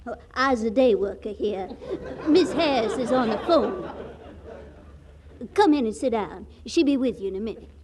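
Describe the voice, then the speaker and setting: Female, acted: cheery maid, highish voice, old radio comedy, heavy noise and crowd sounds. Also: lively intonation, normal speed, long pauses, lightly acted. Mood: cheerful. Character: cheerful household day worker, woman. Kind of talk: 1940s radio comedy acting. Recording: noisy vintage radio, audience sounds.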